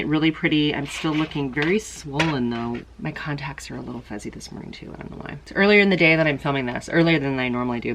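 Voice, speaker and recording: Low-voiced, congested woman chatting makeup. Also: fairly bright tone, a little hiss or hum. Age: middle-aged. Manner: chatty, rambling, self-deprecating. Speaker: beauty vlogger. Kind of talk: casual makeup tutorial chat.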